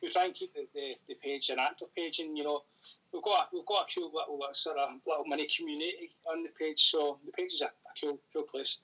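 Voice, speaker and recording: Bright-voiced Scottish man chatting. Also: fairly high pitch, fairly even intonation, husky, low-quality recording. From Scotland. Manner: fast, rambling with fillers. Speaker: podcast guest. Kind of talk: casual chat.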